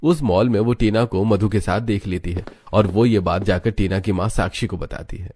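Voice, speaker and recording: Male story narrator, lowish pitch, dark relaxed timbre, scripted storytelling. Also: normal speed, husky.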